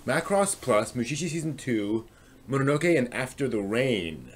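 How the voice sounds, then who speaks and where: A man hosting a casual livestream, rambling and thinking aloud as he chats with viewers in a halting way. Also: medium pitch, sing-song, husky. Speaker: live stream host. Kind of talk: casual livestream chat, answering viewers' comments.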